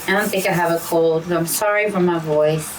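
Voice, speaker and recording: A woman co-hosting a casual hobby chat, hoarse and losing her voice, rambling with frequent restarts. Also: fairly low pitch, fairly even intonation, brisk, far from the mic, a little hiss or hum, poor audio. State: hoarse, losing her voice from talking too much. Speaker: co-host of a diamond painting channel.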